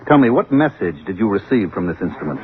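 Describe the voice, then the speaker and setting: An actor playing a stern, authoritative security agent in a vintage radio drama, clipped, insistent and official. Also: male voice, lowish voice, lively intonation, fast talker, natural voice. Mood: stern interrogation. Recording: tinny, noisy vintage radio recording.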